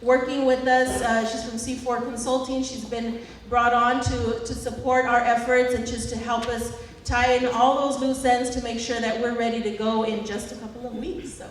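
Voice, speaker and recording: A woman's voice: fairly high pitch, little pitch movement, normal speed, slight background noise. A woman organizer thanking partners and introducing the next speaker, loose and thankful with fillers. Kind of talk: informal welcome remarks. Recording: distant mic in echoey hall.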